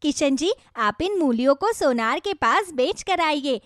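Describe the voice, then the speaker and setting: A woman plays a materialistic young village wife from a cartoon moral tale. Her voice is high and swings widely as she insists and brags. The sound is close and dry. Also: lively intonation, a little slow, a bit bright, no echo. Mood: gleeful, boastful. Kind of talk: children's moral story cartoon.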